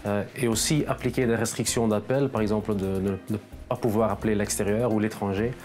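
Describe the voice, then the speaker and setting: A man's voice: fairly low pitch, fairly even intonation, fairly quick, darkish tone. A man explaining a product in a matter-of-fact way, as a specialist in a corporate testimonial. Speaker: telecom solution specialist. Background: background music.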